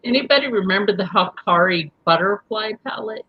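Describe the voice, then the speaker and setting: Chatty woman with a husky voice. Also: mid-range voice, animated, a little fast, dull audio. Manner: chatty, laughs often. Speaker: live show host. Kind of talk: casual group chat.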